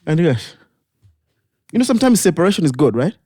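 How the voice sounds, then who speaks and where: A man with a Malawian accent co-hosting a podcast in a relaxed, casual way, slipping local phrases into his English. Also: a little high, brisk, breathy edge, dry recording, clean studio sound. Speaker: podcast co-host.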